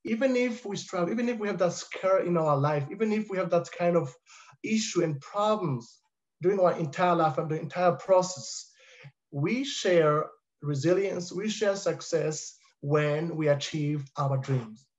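A man's voice: a little high, a little slow. A man in his thirties whose first language is French, speaking earnestly as a panelist on a video call through a headset. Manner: earnest, emphatic, repeats for stress. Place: home living room with high ceiling. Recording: dull muffled video call audio.

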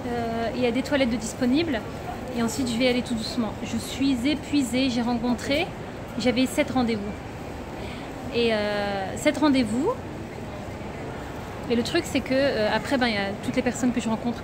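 A woman's voice: a little high, fairly even intonation, brisk. A woman in her thirties, vlogging to a phone at arm's length, chatty and full of hesitations as she walks through a busy bazaar. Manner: chatty, lots of hesitation fillers. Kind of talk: casual vlog chat to camera. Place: busy indoor bazaar hall, later a city bus. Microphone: phone held at arm's length. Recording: loud crowd and traffic noise.

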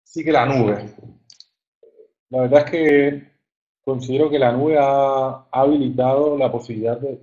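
A man in his thirties with a Colombian coastal accent giving an informal online presentation, haltingly, repeating words as he searches for phrasing. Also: medium pitch, fairly even intonation, dark tone. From Caribbean coast of Colombia. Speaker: webinar presenter, software engineer. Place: online webinar. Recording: muffled narrow-band online call audio.

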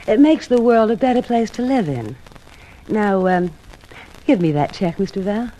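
An American actress playing a scheming, greedy blackmailer with a sugary, menacing manner in a 1950s radio drama. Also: female voice, mid-range voice, lively intonation, moderate pace, mellow, close to an everyday voice, low-quality recording. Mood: coolly menacing. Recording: old radio recording, faint noise.

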